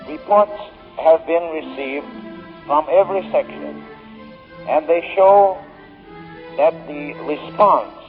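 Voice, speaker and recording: Slow, echoing old-time radio announcer man. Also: a little high. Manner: measured, declamatory, broken phrasing. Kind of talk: formal 1930s radio oratory. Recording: dull, reverberant old radio recording.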